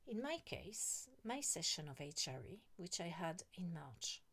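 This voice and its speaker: Middle-aged woman vlogging, slow rambly talk, lowish voice, keeps dropping to a whisper. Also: sing-song, a bit bright, husky. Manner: slow, rambling, musing, often drops to a whisper. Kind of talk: casual monologue to camera.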